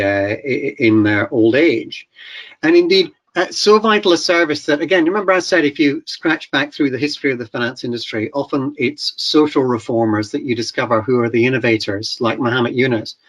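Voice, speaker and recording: A man with a Scottish accent giving a webinar talk over slides, pausing often with fillers and restarts. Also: medium pitch, a bit bright. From Scotland. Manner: hesitant, many fillers and restarts. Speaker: webinar speaker. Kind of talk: presentation with slides. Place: video call webinar.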